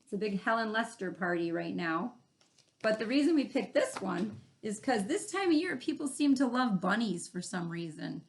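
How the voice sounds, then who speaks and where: A woman's voice: mid-range voice, moderate pace. Woman reading aloud with chatty asides. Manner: explanatory, animated asides. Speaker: read-aloud host, teacher. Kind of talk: chatty children's picture book read-aloud.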